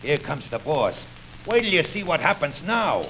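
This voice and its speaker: An actor playing a rough, dim gangster henchman with slangy, mocking talk in 1940s radio style. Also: on the high side, very animated, moderate pace. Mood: deferential. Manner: slangy, rough-spoken thug. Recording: old radio show record with surface hiss, clicks.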